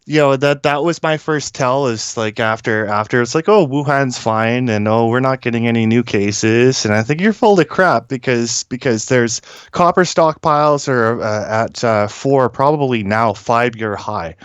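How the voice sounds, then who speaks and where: A man speaking as a guest caller, giving informal industry commentary in a halting, blunt, opinionated way with many filled pauses. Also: mid-range voice, clear, resonant tone, no echo.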